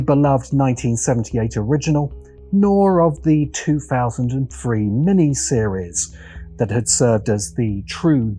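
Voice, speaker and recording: Mellow, animated male video essayist. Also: mid-range voice. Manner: measured, dramatic, deliberate phrasing with pauses. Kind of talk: scripted narration, dramatic video essay. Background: background music.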